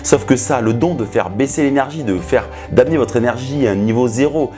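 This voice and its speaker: A man's voice: medium pitch, fairly quick. Instructional male coach over music. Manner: didactic, addressing the viewer directly. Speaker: seduction coach hosting a video. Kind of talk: instructional talk to camera.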